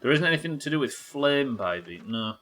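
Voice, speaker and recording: A man's voice: mid-range voice, very animated, a little fast, bright, forceful tone. A man doing casual livestream game commentary, thinking aloud, questioning himself and swearing now and then. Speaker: live game streamer.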